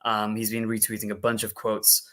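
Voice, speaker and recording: A man's voice: fairly low pitch, monotone, normal speed, fairly bright tone. A man co-hosting a live stream, giving casual updates and repeating words. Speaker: co-host.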